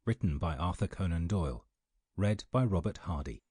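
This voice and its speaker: A man's voice: low voice, expressive, normal speed, dark tone, slightly rough, dry recording, studio quality. A man making a formal, scripted announcement as an audiobook announcer.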